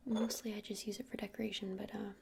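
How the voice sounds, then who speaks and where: A woman whispering breathily and softly in an unhurried, hesitant ASMR roleplay as a gentle crystal shop witch, close to the microphone. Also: medium pitch, flat delivery, gravelly, heavy background noise. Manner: hesitant, unhurried, soft-spoken. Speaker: ASMR performer playing a witch shopkeeper.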